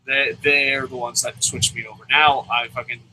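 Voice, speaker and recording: A man's voice: medium pitch, flat delivery, moderate pace, sharp tone, slight background noise, low-quality recording. A man hosting a casual wrestling review podcast, rambling and swearing freely, with stammering restarts. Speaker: wrestling review show host. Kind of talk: casual podcast chat, profane. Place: lives in Japan.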